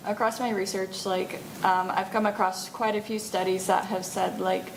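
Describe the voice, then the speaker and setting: A woman on a student panel answers casually, with fillers. Her delivery is flat and gravelly. The room is echoey, with clear noise behind her. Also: mid-range voice.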